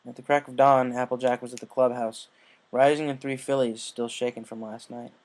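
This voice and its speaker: A man's voice: medium pitch, level tone, a little fast. A man reading a scripted story aloud as narrator, in audiobook style.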